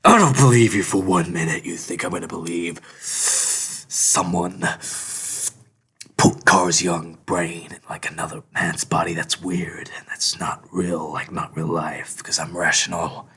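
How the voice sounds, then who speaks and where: A man's voice: low-pitched, steady tone, measured pace, creaky, a bit bright, husky, heavily acted. A man playing a hot-tempered, threatening self-styled rational thinker in a comedy sketch, given to theatrical outbursts. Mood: angry, disbelieving.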